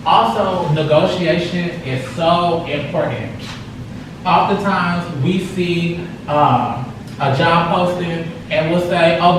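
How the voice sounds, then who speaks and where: A man giving a motivational talk to students in a casual, direct way with slang. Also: a little high. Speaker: speaker. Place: large echoey hall. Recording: echoey hall from far off, audible noise.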